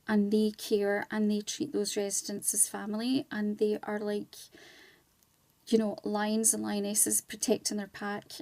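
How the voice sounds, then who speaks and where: Female interview guest, earnest and chatty, with noticeable vocal fry and a dry, close sound. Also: medium pitch, dark tone, no room sound. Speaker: guest, charity volunteer and community ambassador. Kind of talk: conversational radio interview.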